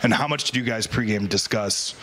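Low-voiced reporter asking a question. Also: male voice, a little fast, a bit bright, slight background noise. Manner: hesitant, with restarts.

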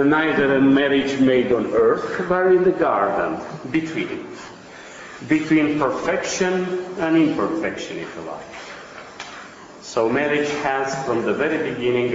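A man's voice: mid-range voice, slight background noise. A man with a Romanian accent delivers an academic lecture, often posing a question and then answering it himself. He is picked up from a distance in a lecture room. The sound rings with long reverb and comes across muffled and dull. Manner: explanatory, asks and answers own questions. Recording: distant, echoey, dull lecture hall recording.

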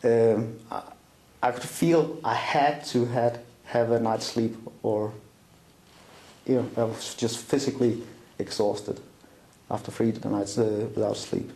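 A man's voice: medium pitch, fairly even intonation, a little slow, mellow, reverberant. A middle-aged man with a Danish accent recounting a personal story in an interview, with many fillers and restarts. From Denmark. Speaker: interview guest. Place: small room indoors, seated at a table.

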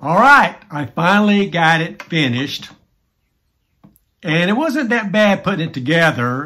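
A man's voice: a little high, a bit bright. A man in his sixties hosting a casual DIY vlog, explaining things to the camera. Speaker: DIY video host. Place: small indoor home workshop room.

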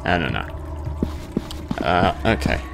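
A man's voice: low-pitched, lively intonation, slow pace, creaky. A man giving casual let's-play commentary, muttering asides and bursting into sudden exclamations, as host of a gaming video. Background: game music and sound effects.

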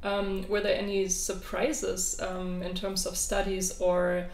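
Woman interviewer, slow pace, echoey room, a bit off mic, faint hiss. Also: mid-range voice, monotone, vocal fry, distant mic. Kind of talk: interview questions. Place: small colorful lounge indoors.

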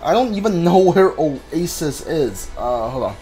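A man giving casual video game commentary in a halting way, stumbling over his words. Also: a little high, big pitch swings, dark tone. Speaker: gamer streaming a playthrough. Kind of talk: casual gameplay commentary, reading dialogue aloud. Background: game music.

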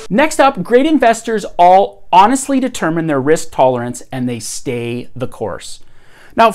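A man in his thirties hosting a scripted finance explainer, upbeat and emphatic, filmed at home in front of bookshelves. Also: high-pitched. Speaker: host.

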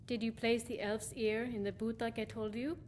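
An actress playing a superstitious rustic wife and mother in a video game, homely, gently scolding and eerily hospitable. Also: on the high side, little pitch movement, moderate pace, a little hiss or hum, lightly acted. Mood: welcoming. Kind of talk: video game character acting.

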